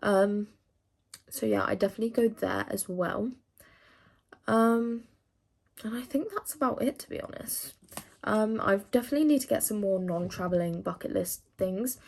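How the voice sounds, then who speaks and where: A woman's voice: mid-range voice, normal speed, creaky, a little mellow. A teenage woman from England chatting casually to camera, rambling with lots of fillers as she answers questions. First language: English. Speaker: vlogger.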